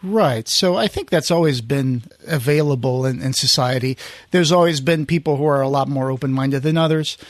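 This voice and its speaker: A man hosting a podcast in casual conversation, feeling his way haltingly through his sentences. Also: medium pitch, no room sound. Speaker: podcast host.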